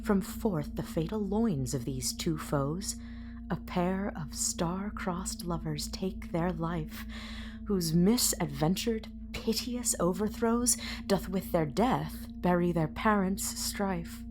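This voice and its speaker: A woman playing the grave chorus of a tragedy, reciting Shakespearean verse in a formal, measured way. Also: lowish voice, background noise. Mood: solemn. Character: solemn chorus narrator of a tragedy.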